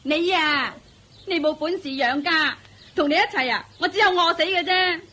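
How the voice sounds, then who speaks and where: Bitter young wife, high bright voice, hong kong cantonese, whiny and contemptuous, radio drama. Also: female voice, moderate pace. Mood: bitter, complaining. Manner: complaining, contemptuous. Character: bitter, fed-up young wife scorning her poor husband.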